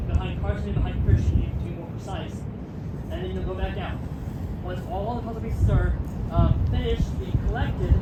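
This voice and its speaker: A man hosting an outdoor game, explaining the rules in a halting way with frequent uh's. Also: sits high, reverberant. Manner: halting, fills pauses with uh. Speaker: challenge host. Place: outdoor front yard. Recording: far-off mic, heavy outdoor noise.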